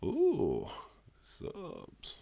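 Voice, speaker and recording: A man's voice: high-pitched, wildly swooping pitch, drawn-out words, creaky, mellow, no echo. A man with a head cold, chatting casually and bluntly on a live stream, swearing freely and answering viewers' messages. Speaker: wrestling watch-along streamer.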